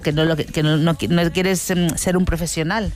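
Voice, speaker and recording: A woman's voice: on the low side, steady tone, normal speed, faint music behind. A woman co-hosting a casual panel chat, agreeable and chatty, speaking from her work in casting. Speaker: casting director, co-host.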